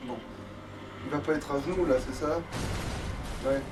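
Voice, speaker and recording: A man's voice: a little high, moderate pace, music in the background. A man doing casual let's play commentary in a grumbling, sweary way. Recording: noisy, distant microphone.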